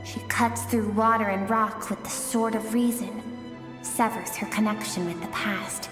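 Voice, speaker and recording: A woman voicing a calm, mysterious young female spirit who dwells in another's inner world, speaking gently. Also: medium pitch, unhurried, natural voice. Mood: gentle. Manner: soft, gentle, reflective. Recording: echoey dreamlike reverb under music.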